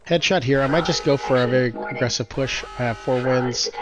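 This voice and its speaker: A man's voice: medium pitch, a little fast, music in the background. A congested man casually commentating his own game matches, explaining his plans with lots of fillers. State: congested, slightly stuffy.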